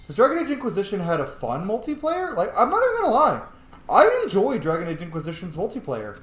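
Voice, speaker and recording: A man commentates on a video game in a casual, sweary, playful way, muttering his thoughts aloud. His voice sits a little high and swings widely in pitch. The room is echoey, with faint noise behind him. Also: wildly swooping pitch, quick pace. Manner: sweary, playful, thinking aloud, bursts into silly shouts. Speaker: streamer playing a role-playing video game. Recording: roomy echo, faint background noise.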